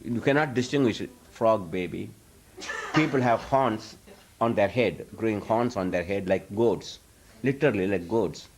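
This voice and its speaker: A man's voice: fairly low pitch, normal speed, background noise. A man who is a spiritual teacher, answering audience questions in an informal lecture. He rambles, restarts his sentences often and chuckles as he talks. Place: live talk before an audience.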